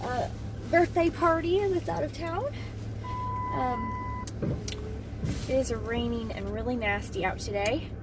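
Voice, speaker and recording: A woman vlogging her day in a casual, rambling way, full of ums, her voice hoarse. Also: high voice, big pitch swings, slow pace, pauses often, heavy background noise. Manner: chatty, rambling with many ums. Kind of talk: casual daily vlog to camera. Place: outdoors on a rural road, wind.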